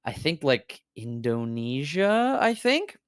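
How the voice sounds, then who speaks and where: Smooth-voiced man, animated, swearing freely. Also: on the high side, takes it slowly, dry recording, clean studio sound. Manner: animated, swearing, laughing, frequent fillers. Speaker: VTuber guest. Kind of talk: casual stream chat.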